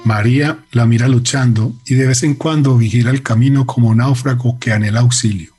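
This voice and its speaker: A man's voice: mid-range voice, fairly even intonation, brisk, darkish tone, soft background music. A man narrating a radio drama in a solemn, literary, dramatic manner.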